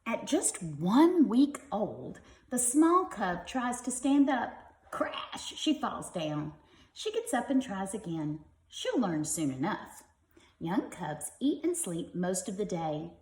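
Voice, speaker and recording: A woman's voice: medium pitch, sing-song, slow pace, reverberant. A woman reading a picture book aloud to young children like a teacher, expressively and with simple explanatory asides.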